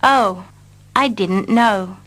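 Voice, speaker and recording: A woman repeating short English dialogue lines slowly and carefully for learners. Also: medium pitch, fairly bright tone, rough recording. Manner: carefully repeats each line. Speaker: English teacher. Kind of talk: slow scripted reading for learners.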